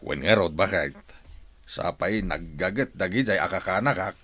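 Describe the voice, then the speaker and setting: An actor playing a kindly, welcoming older village uncle in a radio drama. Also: male voice, low-pitched, normal speed, a bit bright. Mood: concerned.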